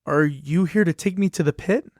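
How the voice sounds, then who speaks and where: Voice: medium pitch, normal speed, darkish tone, no echo, studio quality, lightly acted. An actor in a musical puppet show voicing an anxious, earnest children's book that pleads its case expressively. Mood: worried. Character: earnest, anxious award-winning children's book facing a ban.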